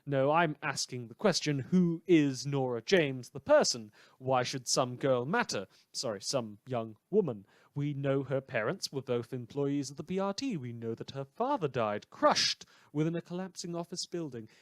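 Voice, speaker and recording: Male, acted sardonic villain broadcaster, medium pitch, grandiose radio-drama monologue, dramatic pauses, dry studio-like sound. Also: lively intonation, no echo, lightly acted. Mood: theatrical, knowing. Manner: dramatic, sarcastic, with emphatic pauses. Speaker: radio news host. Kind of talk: theatrical scripted radio monologue.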